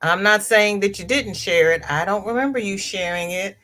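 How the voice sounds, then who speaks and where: A woman's voice: mid-range voice, moderate pace, pushed and bright. A congested woman hosting a casual live crafting chat, greeting viewers by name and reading out their comments. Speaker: livestream host.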